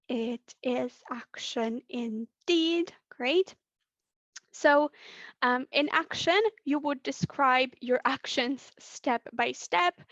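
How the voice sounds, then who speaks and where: A woman giving an online training session, losing her voice, speaking deliberately and drawing out key words. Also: high-pitched, no room sound, highs cut off. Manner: deliberate, drawn-out letter by letter. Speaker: webinar presenter. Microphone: computer mic on a video call.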